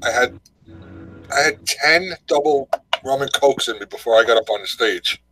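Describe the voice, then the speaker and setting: A man's voice: mid-range voice, normal speed, sharp tone, faint music behind, low-quality recording. A middle-aged man co-hosting a live stream over a video call, chatting casually and greeting viewers by name. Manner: easygoing, greets viewers in chat. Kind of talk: casual chat.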